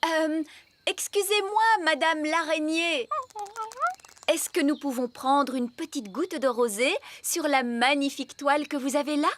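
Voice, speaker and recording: A woman's voice: high voice, a little slow. A woman playing a knowing, bossy fairy nanny in a children's cartoon, speaking in an instructive, emphatic way with proper diction. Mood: polite.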